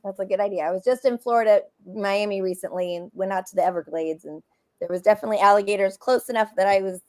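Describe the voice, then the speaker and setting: A woman's voice: medium pitch, fast, a little mellow, dry recording. A woman hosting a casual interview over a video call, reacting with enthusiasm. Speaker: host, interviewer. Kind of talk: casual live-stream interview.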